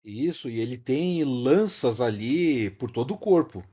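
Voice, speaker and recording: Brazilian male podcast host, mellow mid-pitched voice with very lively intonation, emphatic casual explainer. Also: measured pace, breathy edge. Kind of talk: casual film discussion.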